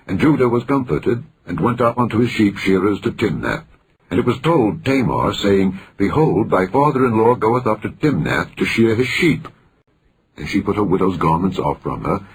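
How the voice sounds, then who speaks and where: A man reading scripture aloud as a scripted narration, solemn and deliberate, with frequent pauses between phrases. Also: lowish voice, slight background noise, poor audio. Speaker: scripture reader.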